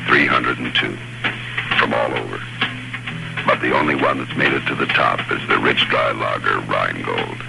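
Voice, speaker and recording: A man's voice: extremely low pitch, moderate pace, vocal fry, over loud music. An American man reading a 1960s radio advertisement as its announcer, on a noisy old recording. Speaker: commercial announcer.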